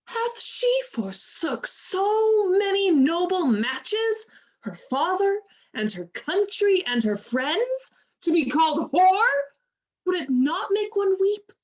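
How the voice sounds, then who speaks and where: A woman playing an outraged, sharp-tongued, loyal waiting-woman in a Shakespearean radio play, emphatic and scornful. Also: very high voice, very animated, slow, dull audio, full character voice. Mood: indignant.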